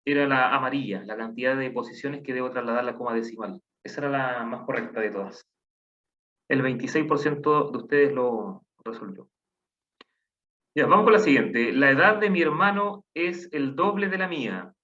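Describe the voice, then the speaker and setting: A man's voice: medium pitch, moderate pace, sharp tone. A man teaching a math class online, patiently counting aloud and asking the class questions. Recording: dull lo-fi online class audio.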